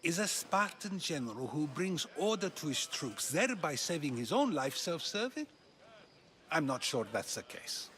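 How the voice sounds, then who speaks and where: An actor playing a playful ancient Greek philosopher in a video game, teasing and arguing through questions. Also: male voice, on the high side, very animated, normal speed, fairly bright tone. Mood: rhetorical, questioning. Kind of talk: dramatic video game dialogue.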